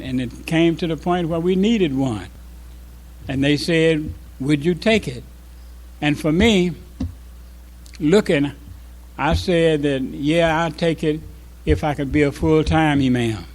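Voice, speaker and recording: Older man reminiscing, slightly high voice. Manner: halting, reflective, with pauses mid-sentence. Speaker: former resident imam giving an address. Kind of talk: informal reminiscing speech to a congregation.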